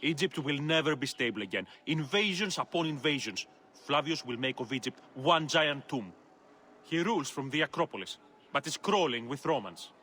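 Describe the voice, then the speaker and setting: A weary magistrate, dry and measured, with a bright, crisp tone. Faint outdoor noise sits behind. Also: male voice, a little high, animated. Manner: wry, measured, world-weary.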